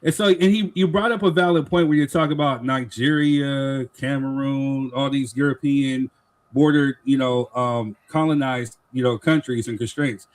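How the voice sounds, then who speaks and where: A man's voice: medium pitch, moderate pace. A man co-hosting a live stream talk show, explaining his view earnestly in a casual debate. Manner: earnest, explains with "you know what I'm saying".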